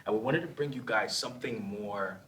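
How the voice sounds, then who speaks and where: A man's voice: fairly low pitch, brisk, a bit bright, slight background noise, low-quality recording. A man hosting a casual podcast panel, talking loosely with lots of fillers and trailing asides. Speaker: podcast host.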